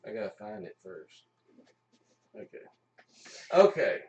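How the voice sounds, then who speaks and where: A man's voice: lowish voice, big pitch swings, unhurried, creaky, a little mellow, lo-fi sound. A man hosting a live stream of radio plays in casual chat. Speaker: host of live radio play show. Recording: roomy, mic at a distance.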